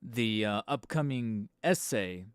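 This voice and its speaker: Tired slightly hoarse guy rambling on his stream, close mic, dry, no room sound. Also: on the low side, expressive, slow pace, no echo. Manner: rambling, self-mocking, with fillers and restarts. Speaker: channel host streaming live. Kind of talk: casual live-stream chat. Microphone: studio microphone close up.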